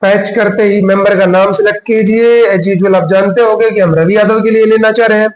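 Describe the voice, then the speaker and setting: A man leads a casual step-by-step tutorial. His voice sits quite high for a man. He explains each step and slips into English terms, and the room adds a clear echo around him. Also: steady tone, fairly quick. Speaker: tutorial host. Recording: roomy, echoing voice recording.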